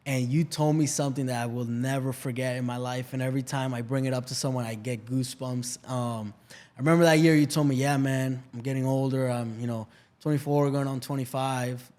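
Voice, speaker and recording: A man's voice: medium pitch, steady tone, brisk, darkish tone. A man hosting a podcast, asking questions casually and backing his guest up with frequent "yeah" and "hundred percent". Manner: eager, affirms often with "yeah" and "hundred percent". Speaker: podcast host. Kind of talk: casual interview talk.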